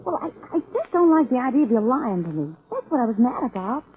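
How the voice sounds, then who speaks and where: A young sweetheart, fairly high and very expressive, hesitant and soft-hearted. It is 1940s radio drama with a narrow, phone-like old sound. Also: female voice, quick pace. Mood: hurt, reproachful. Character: hurt but forgiving young female sweetheart of a crook. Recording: thin, phone-like vintage 1940s radio recording.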